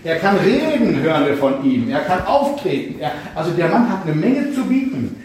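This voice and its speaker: Guy preaching a sermon, warm low-toned voice, lots of questions to the crowd, big echo and a bit far from the mic. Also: sing-song, normal speed. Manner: reflective, asks the listeners many questions. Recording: echoey room, speaker far from mic, faint noise.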